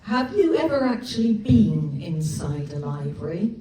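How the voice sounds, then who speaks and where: A woman's voice: lowish voice, very animated, unhurried, mellow. A woman with a Gloucestershire accent voicing a matter-of-fact male junior officer with a dry, practical manner, recorded echoey from a distance at a live event. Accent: Gloucestershire English. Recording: echoey live room from far off with audience.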